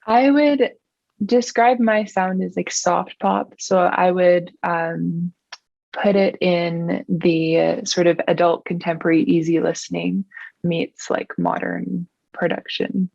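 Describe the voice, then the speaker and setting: Kiwi singer lady chatting in an interview, fry in her voice, muffled lo-fi sound, says like a lot. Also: lowish voice, steady tone, slow pace, darkish tone, no echo. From New Zealand. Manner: chatty, frequent "like" and "um" fillers. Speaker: singer-songwriter guest. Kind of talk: casual interview chat.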